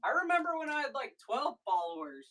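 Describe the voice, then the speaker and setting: A woman from Missouri with a deep voice, chatting casually on a livestream while she packs and ships orders, often breaking off mid-thought to handle a task. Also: very animated, a little fast, bright, forceful tone. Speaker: online seller streaming a live sale.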